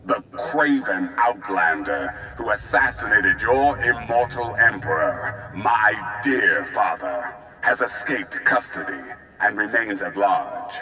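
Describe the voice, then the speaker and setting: An actor playing a pompous tyrant emperor in a video game, giving a commanding, theatrical public proclamation. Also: male voice, fairly low pitch, sharp tone, full character voice. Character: imperious tyrant emperor addressing his people. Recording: reverberant broadcast announcement, faint noise.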